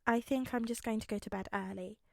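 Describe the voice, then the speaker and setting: A woman's voice: fairly high pitch, steady tone, fast, creaky, dry recording, studio quality. A woman voicing a secretive teenage boy with a restrained, subdued delivery in an audiobook story. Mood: tired, evasive. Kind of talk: audiobook character acting.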